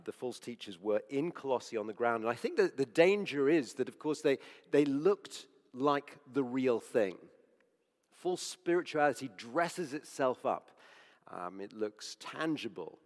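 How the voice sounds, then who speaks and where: A man preaching with a hoarse, croaky voice. He sounds earnest and conversational, often correcting himself mid-sentence. His voice carries a long echo, as in a church hall. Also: medium pitch. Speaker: preacher on the church leadership team. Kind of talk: sermon.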